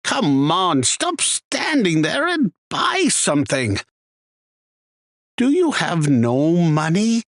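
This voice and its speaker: Man playing slow-talking, theatrical game shopkeeper. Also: on the high side, huge pitch swings, no echo, clean studio sound, full character voice. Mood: annoyed, impatient. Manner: theatrical, deliberate salesman patter. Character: chatty male shopkeeper, wry, easily annoyed arms trader. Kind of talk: scripted video game character lines.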